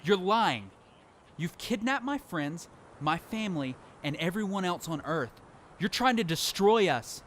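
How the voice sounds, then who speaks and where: A man's voice: high voice, animated, a little slow, fairly bright tone, slight background noise. A man playing a lone apocalypse survivor who tells his story in earnest, dramatic first-person narration for an audio drama. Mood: shocked, defiant. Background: music.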